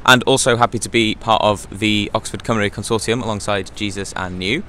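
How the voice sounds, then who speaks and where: A man's voice: fairly low pitch, fairly even intonation, fairly quick, pushed and bright, a little hiss or hum. A young man from Wales, giving a casual, upbeat walking tour of his college on a lapel mic. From North Wales. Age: young adult. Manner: upbeat, chatty, many ums and likes. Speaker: college outreach officer. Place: college campus, outdoors and indoor halls.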